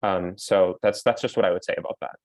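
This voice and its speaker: Man, very low voice, faculty panelist, hesitant with many ums, dry sound. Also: steady tone, a little fast, dry recording. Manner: thoughtful, frequent ums. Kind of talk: Q&A panel advice.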